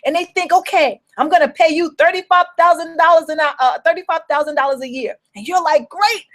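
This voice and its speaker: A woman's voice: extremely high pitch, brisk, fairly bright tone. A young woman with a New York accent giving an earnest motivational talk as a business coach, often repeating and restarting her phrases.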